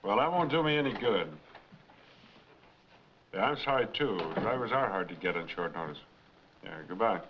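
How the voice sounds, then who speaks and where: A stubborn, weary small businessman, played by a male actor in 1940s noir style. He talks tersely in a lowish voice. The old film sound is dull, with faint hiss. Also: expressive, moderate pace, long pauses, lightly acted. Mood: resigned. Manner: terse, dry. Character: stubborn, world-weary small-time trucking man.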